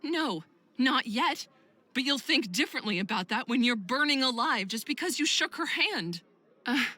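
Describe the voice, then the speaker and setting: A woman's voice: medium pitch, fairly bright tone, natural voice. A woman playing a protective, serious friend and mentor in a visual novel game, speaking in an earnest, admonishing way. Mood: apologetic.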